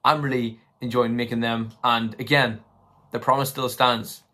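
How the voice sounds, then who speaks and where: A man in his twenties with a slightly hoarse voice, talking casually and tentatively to camera as he thinks through his commentary. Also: mid-range voice, little pitch movement, moderate pace, bright, forceful tone. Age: 20s. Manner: tentative, hedging, thinking aloud. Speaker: political commentary vlogger. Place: small room at home, indoors.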